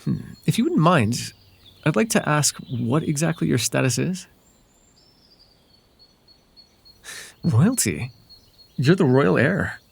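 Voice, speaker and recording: Casting: male actor as flirtatious fae wanderer for ASMR roleplay. Husky, animated delivery, gentle and courteous with playful hesitation. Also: a little high, a little slow, full character voice. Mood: curious, amused.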